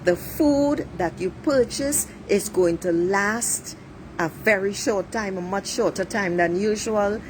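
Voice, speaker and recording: A woman's voice: mid-range voice, takes it slowly, poor audio. A middle-aged woman from Trinidad with a Trinidadian accent, giving a blunt, preachy monologue to her viewers and swearing freely. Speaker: life coach livestreaming. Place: outdoors near traffic. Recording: street traffic noise behind. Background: passing cars, a siren.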